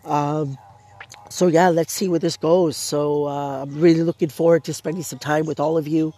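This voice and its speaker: A man's voice: a little high, little pitch movement, normal speed, warm tone. A man hosting his own podcast, talking casually and losing his voice from a sore throat. He rambles, with many fillers and restarts. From Canada. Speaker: podcast host. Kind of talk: casual solo podcast talk. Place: outdoors in his backyard. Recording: music playing behind the voice. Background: intro music playing.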